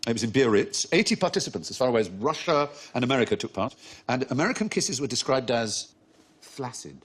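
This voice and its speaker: A man's voice: mid-range voice, big pitch swings, fast talker, breathy edge. A man hosting a panel quiz show, telling stories in an erudite, amused way in a television studio. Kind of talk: witty panel show chat. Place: TV studio with audience.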